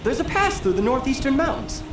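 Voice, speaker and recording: Whiny, swooping young noble. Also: male voice, very high-pitched, very animated, a little fast, roomy sound, noisy background. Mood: petulant. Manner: theatrical, whiny, haughty. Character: petulant, sheltered teenage noble boy longing to hunt. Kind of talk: video game dialogue acting.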